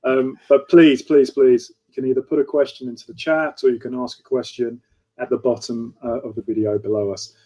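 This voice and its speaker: A man's voice: mid-range voice, level tone, a little fast, mellow. A man moderating an online fireside chat over a video call, conversational and often ending his points with "right?".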